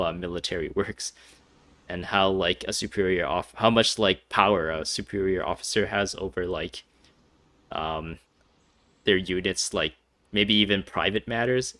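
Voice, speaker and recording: Bright-voiced man rambling over a game. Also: deep voice, dry recording. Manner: rambling, chuckly, hesitant. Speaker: gaming YouTuber. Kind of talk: casual let's-play commentary. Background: faint game music.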